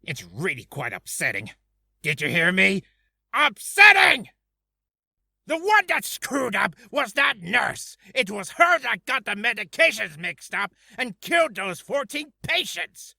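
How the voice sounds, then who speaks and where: A man's voice: sits high, sing-song, measured pace, creaky, sharp tone, no echo, clean studio sound, heavily acted. A man voicing a pompous, irritable doctor with an aggrieved, emphatic manner, for a character reel. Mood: indignant. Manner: emphatic outbursts, aggrieved complaining.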